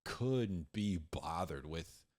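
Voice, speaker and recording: A man's voice: deep voice, lively intonation, slow pace, darkish tone. A man giving casual, conversational Bible teaching in slangy, informal speech, repeating words for emphasis and acting out little dialogues. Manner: acts out imagined back-and-forth dialogues.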